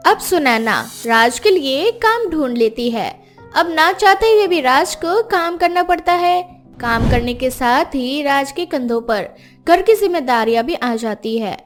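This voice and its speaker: A woman's voice: sits high, lively intonation, measured pace, soft background music. A woman giving scripted narration for a moral story.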